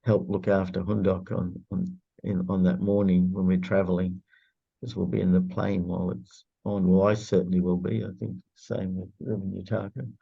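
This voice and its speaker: Low gravelly guy w greek first language, stops and starts a lot, dull lo-fi call audio. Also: flat delivery. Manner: halting, lots of filler pauses. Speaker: prayer group member. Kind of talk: casual group video call. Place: indoor room shared with another participant.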